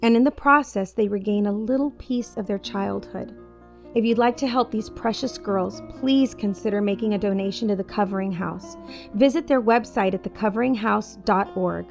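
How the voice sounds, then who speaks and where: Female PSA reader. Noticeable vocal fry, mid-range pitch, scripted appeal over a light music bed. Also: normal speed. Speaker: spokesperson for a charity appeal. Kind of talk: scripted public service announcement.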